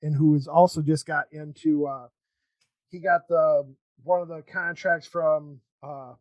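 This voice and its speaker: A man hosting a casual interview chat, talking in a halting, rambling way with false starts. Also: on the high side, fairly even intonation, a little mellow, no echo, poor audio. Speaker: podcast host.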